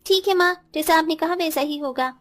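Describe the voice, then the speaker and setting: Woman playing a high-pitched, spiteful young sister-in-law. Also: normal speed, fairly bright tone, slight background noise. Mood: falsely obedient. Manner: scornful, bossy, snappish. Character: spiteful, scheming young sisters-in-law, haughty college girls. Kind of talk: Hindi cartoon story dialogue.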